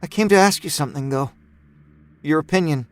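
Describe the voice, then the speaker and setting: A man's voice: on the high side, fairly quick, close to an everyday voice. A man voicing a distraught, weary mother in a story, tearful and pleading. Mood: anxious, tearful. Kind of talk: acted dialogue in audiobook narration. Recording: music bed under the voice.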